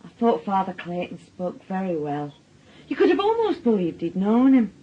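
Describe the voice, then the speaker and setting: An actress playing a soothing, gossipy middle-aged family friend with a Northern English accent in a radio drama. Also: female voice, mid-range voice, huge pitch swings, moderate pace, slightly rough. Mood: chatty, sympathetic. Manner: gossipy, placating. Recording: dull radio drama recording.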